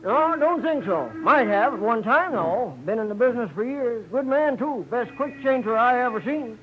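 An actor playing a folksy, unhurried old night watchman in 1940s radio style, with an American accent and hesitant replies. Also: very high voice, expressive, faint music behind. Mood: folksy, musing. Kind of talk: 1940s radio character acting. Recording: muffled, hissy old radio recording.

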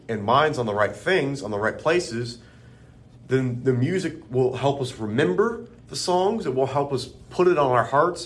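A man with a deep bass voice co-hosting a podcast, speaking in a friendly, conversational way and checking in with his listeners. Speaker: podcast co-host. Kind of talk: casual religious discussion.

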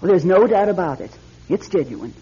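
An actress playing an earnest, insistent older woman who appraises antiques in a classic radio drama, with a raspy voice. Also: fairly low pitch, lively intonation, brisk, natural voice. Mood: knowing, matter-of-fact. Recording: dull, lo-fi old radio recording.

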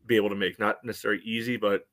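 A man co-hosting a sports podcast with casual, halting analysis full of verbal padding. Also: on the low side, level tone, fairly bright tone. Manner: halting, peppers talk with "you know". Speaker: podcast co-host, commentator.

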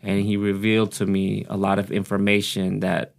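A man's voice: on the low side, monotone, moderate pace, creaky. A man in his forties, born in the Philippines, speaking as a guest in a casual podcast interview in a laid-back, reflective way. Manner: laid-back, reflective, often says "you know what I mean". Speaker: guest, author.

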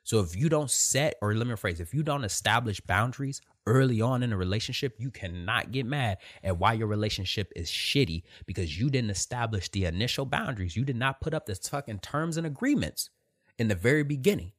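Soft-voiced man, lively emphatic delivery. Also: mid-range voice, brisk. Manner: emphatic, repetitive, direct with profanity. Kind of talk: casual motivational talk.

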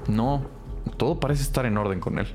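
A man's voice: medium pitch, a little fast, music in the background, lightly acted. Actor as matter-of-fact male police analyst. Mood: matter-of-fact reporting. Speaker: actor in a crime audio drama. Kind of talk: scripted drama dialogue.

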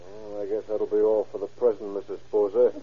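A man's voice: on the low side, flat delivery, fairly quick, breathy edge. An actor playing a laconic, businesslike policeman in an old-time radio crime drama, clipped and matter-of-fact. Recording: muffled old radio recording.

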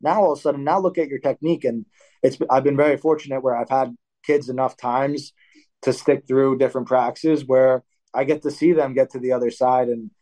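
A man's voice: medium pitch, a little fast, low-quality recording. A young man, a wrestling coach, talking casually in an interview, often restarting and correcting himself, and livening up when he acts out a practice drill. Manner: rambling, self-correcting, animated when acting out drills. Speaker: wrestling coach and athlete, guest.

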